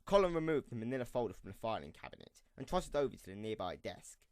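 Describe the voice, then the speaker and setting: A man's voice: mid-range voice, very animated, quick pace, dry recording, clean studio sound. A man reading scripted story narration as the narrator of an audio drama.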